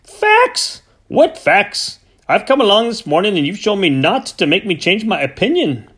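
A man voicing a miserly, furious older farmer, speaking in shrill, indignant outbursts and a hoarse wheedling whisper. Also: big pitch swings, moderate pace, full character voice.

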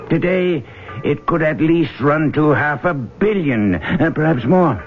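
An actor playing a wealthy, driven ship owner in a radio drama, blunt and wry, talking briskly and emphatically. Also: male voice, on the high side, expressive, soft background music. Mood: emphatic storytelling. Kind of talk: old-time radio drama acting. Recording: muffled, noisy old radio recording.

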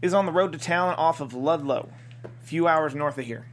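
A man's voice: on the high side, moderate pace, a little hiss or hum, close to an everyday voice. A man playing a dry, sarcastic small-town desert lawman in a comedy script table read. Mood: dry scorn.

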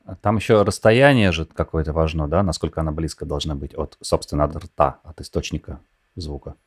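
A man's voice: rumbling low, brisk, husky, no echo, studio quality. A man hosting a podcast, asking curious questions in casual conversation.

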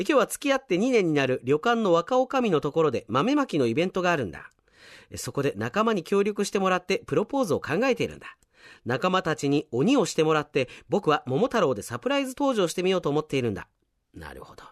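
Animated man hosting a radio show. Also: a little high, no echo, clean studio sound. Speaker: radio show host and announcer. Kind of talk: reading out listener submissions with casual commentary.

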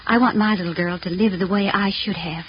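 A woman's voice: medium pitch, fairly even intonation, a little fast, faint music behind, lightly acted. A woman acting as a devoted, determined mother of little means in a 1940s radio drama, speaking earnestly and tenderly. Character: devoted, proud single mother, poor but determined. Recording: dull, noisy 1940 radio broadcast.

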